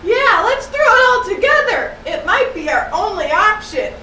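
A woman voicing an eager, excitable young puppet sailor in a children's show, with emphatic, exaggerated delivery. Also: extremely high pitch, moderate pace, heavily acted. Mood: excited. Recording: roomy echo, slightly distant mic, faint noise.

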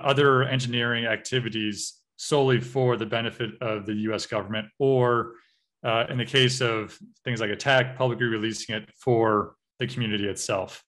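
American man giving a relaxed training talk. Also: mid-range voice. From United States. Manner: relaxed, frequent uh and um fillers. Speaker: cybersecurity trainer. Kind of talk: online workshop lecture over slides.

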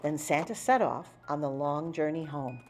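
A woman reading a children's picture book aloud in an animated storytelling style. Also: low voice, moderate pace, a little hiss or hum. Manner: animated, acting out dialogue. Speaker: storybook reader.

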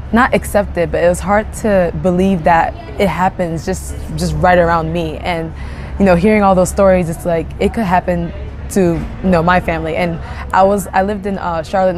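A young woman whose first language is Japanese speaks in a slightly low, easygoing voice, pausing and restarting as she reflects. She is filmed outdoors among tropical plants, with faint music underneath. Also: darkish tone. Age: young adult. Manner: thoughtful, frequent "you know" fillers and restarts. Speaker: interviewee. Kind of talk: casual personal storytelling.

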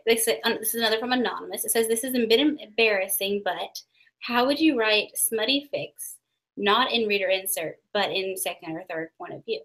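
A woman's voice: mid-range voice, brisk, fairly bright tone, poor audio. A young woman interviewing a friend over a video call, reading out questions with a teasing manner. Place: bedroom. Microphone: webcam mic on a video call.

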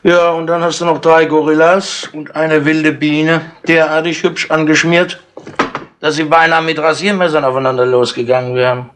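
An actor playing a shrewd, patronising older London chief inspector in a wry, colloquial way, in 1960s radio drama style. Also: male voice, a little high, flat delivery, normal speed, close to an everyday voice. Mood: wry, businesslike. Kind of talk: 1960s German radio crime drama acting. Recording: noisy 1960s radio tape with clicks.

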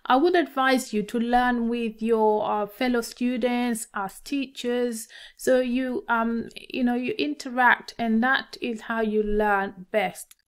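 Higher-pitched Tanzanian woman teaching. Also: steady tone, slow, few pauses, clean tone. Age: middle-aged. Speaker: maths teacher. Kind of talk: instructional talk to camera for students.

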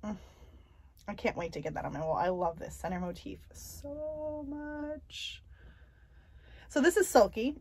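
A woman vlogger chatting casually about her stitching projects, soft and unhurried, with many ums and pauses and light self-deprecating humor. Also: mid-range voice, breathy edge. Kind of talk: casual show-and-tell chat.

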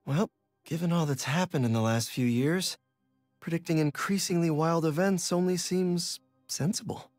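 A man's voice: a little high, moderate pace, darkish tone, no echo, soft background music, close to an everyday voice. Wry young American superhero, played by a man. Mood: wry nostalgia. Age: young adult. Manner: wry, conversational. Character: friendly young adult male New York superhero, wry. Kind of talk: game voice acting, casual reflection.

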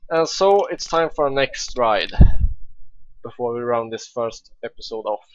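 Young Swedish-accented gamer, chatty and excitable, mid-pitched, slightly muffled home mic. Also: male voice, measured pace, no room sound. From Sweden. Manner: chatty, excitable, swears freely. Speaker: let's play gamer. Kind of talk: casual gameplay commentary.

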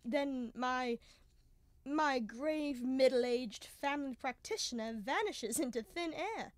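Young woman as protesting detective's companion, slow delivery, dry sound, indignant gentleman, old radio drama. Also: sits high, animated, dry recording. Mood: mildly indignant. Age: young adult. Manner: hesitant, protesting. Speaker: student actor. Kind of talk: 1930s-style radio drama acting.

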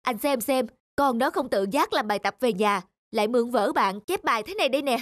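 An actress playing a strict but caring mother of a schoolboy in an animated cartoon, with a firm manner. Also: fairly high pitch, fairly quick, no echo, clean studio sound, natural voice. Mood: scolding. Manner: scolding, firm.

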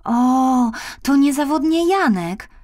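A woman's voice: high-pitched, expressive, slow, mellow, pitched above the performer's own voice. A woman voicing an earnest, sweet little girl in a children's audiobook, speaking eagerly and plaintively. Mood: eager, hopeful. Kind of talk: children's audiobook character acting.

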